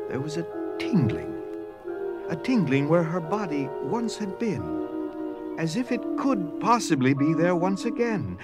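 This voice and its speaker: A man reading scripted narration for a dramatized story. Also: fairly high pitch. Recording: music under the voice.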